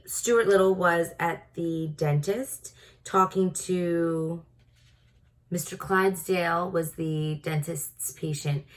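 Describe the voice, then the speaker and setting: A woman reading a storybook aloud to children in a teacherly manner, prompting her listeners. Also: deep voice. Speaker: storybook reader. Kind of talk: read-aloud narration for children.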